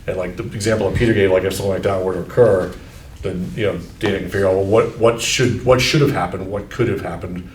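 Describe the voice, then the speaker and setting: A man's voice: sits low, fairly even intonation, fast talker, a little hiss or hum. A man, the superintendent, thinking aloud at a school board meeting, hesitant and full of restarts. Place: meeting room. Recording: echoey room, distant mic.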